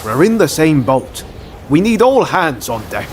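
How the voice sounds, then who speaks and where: A man's voice: fairly high pitch, sing-song, a little slow, background noise. An actor playing a cocky, scheming swordsman in a samurai game, talking in a breezy, persuasive way. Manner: breezy, cajoling.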